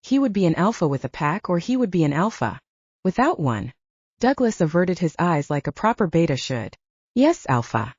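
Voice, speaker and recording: A woman's voice: on the low side, big pitch swings, fairly quick, a little mellow, husky, no echo, highs cut off. A woman reading scripted fiction as an audiobook narrator.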